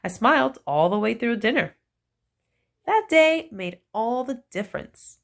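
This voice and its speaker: Slow, lively woman reading a picture book. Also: on the high side. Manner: slow, animated storytelling with playful emphasis. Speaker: children's book reader. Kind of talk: expressive read-aloud of a picture book.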